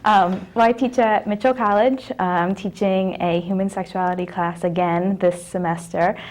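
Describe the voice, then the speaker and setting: A woman's voice: medium pitch, fairly even intonation, moderate pace, a little mellow. A woman college professor appearing as a talk show guest, speaking in a friendly way with many fillers. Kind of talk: casual studio chat.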